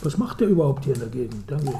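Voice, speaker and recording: A man acts a middle-aged, disapproving father in a radio drama. His soft, dark voice grumbles dismissively in an echoing room, with dishes and cutlery clinking behind. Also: medium pitch, big pitch swings, quick pace, natural voice. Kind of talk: German radio drama acting. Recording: roomy, noisy with clinking tableware.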